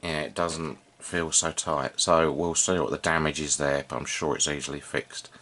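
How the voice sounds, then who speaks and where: A man giving an unscripted, informal hands-on demonstration, thinking aloud in a halting way as he works as a hobbyist repairer. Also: very deep voice, steady tone, fairly bright tone. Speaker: vintage radio repair hobbyist and video presenter.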